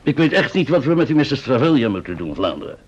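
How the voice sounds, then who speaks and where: Lively, husky police chief, Dutch accent. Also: male voice, medium pitch, fast. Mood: probing. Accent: Netherlands Dutch. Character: doubtful, probing male senior police chief. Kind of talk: old-fashioned Dutch radio play acting. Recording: dull, muffled old radio recording.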